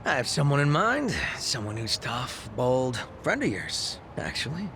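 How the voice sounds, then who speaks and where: A man voicing a weary gang leader, tough but soft-hearted, in a game cutscene. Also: medium pitch, big pitch swings, a little slow, background noise. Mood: tired. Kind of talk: video game cutscene acting. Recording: game audio with music behind.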